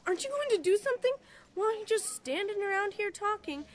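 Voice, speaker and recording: Worried store lady, really high voice, urgent and anxious, radio play. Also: expressive, fast talker. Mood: exasperated. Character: anxious, fretful female supermarket worker. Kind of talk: audio drama acting.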